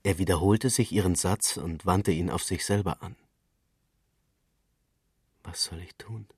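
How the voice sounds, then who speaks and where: A man narrating literary fiction for radio in a measured, deliberate storytelling manner. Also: low-pitched, a little mellow, breathy edge, no echo, clean studio sound.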